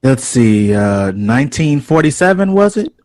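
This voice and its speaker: A man playing a warm, witty jazz composer hosting a party, theatrical and affectionate, in an audio drama. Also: medium pitch, sing-song, moderate pace, close to an everyday voice. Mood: recalling.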